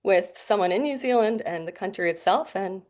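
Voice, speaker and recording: A woman's voice: medium pitch, animated, a little fast, darkish tone. A woman in her forties from the Bahamas with a North American accent, a guest doctor telling her story in a chatty, laughing way. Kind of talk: casual interview chat.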